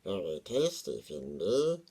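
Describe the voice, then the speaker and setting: Man putting on a slow, menacing prophetic demon. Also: sits low, wildly swooping pitch, creaky, dark tone, husky, full character voice. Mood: gloating, ominous. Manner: slow, drawn-out, theatrical delivery. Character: menacing male demon prophesying doom, hissing and huge.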